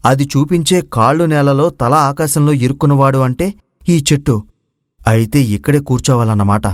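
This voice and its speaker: A man's voice: mid-range voice, moderate pace, dry recording, clean studio sound, close to an everyday voice. A man playing a brave, clever young prince in a storybook tale, speaking formally and confidently. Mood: pondering. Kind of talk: Telugu moral story voice acting.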